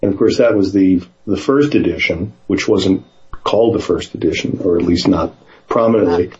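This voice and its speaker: A man in casual conversation, speaking in a hesitant, halting way, sometimes reading aloud. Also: deep voice, highs cut off, crowd noise. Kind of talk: casual conversation with some read-aloud passages.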